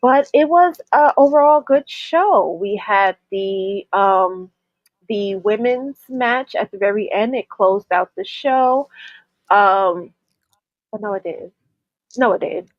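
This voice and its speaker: Female co-host from New York, stuffy with a cold, slow and rambling, dull dry lo-fi sound. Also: mid-range voice, no echo. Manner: chatty, with frequent ums and restarts. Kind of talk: casual chat.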